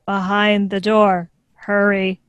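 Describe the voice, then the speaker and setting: Woman playing a slow, monotone robot girl. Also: mid-range voice, clear, resonant tone, natural voice. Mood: flat, obedient. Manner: flat, literal, robotic delivery that echoes others' words. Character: deadpan robot girl, literal, childlike, obedient. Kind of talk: live sitcom table read.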